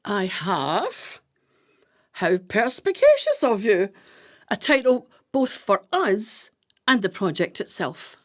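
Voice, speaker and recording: An actress playing a brisk-minded, commanding woman plotter in a radio play, with a deliberate, declamatory delivery. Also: medium pitch, big pitch swings. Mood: brisk, assured.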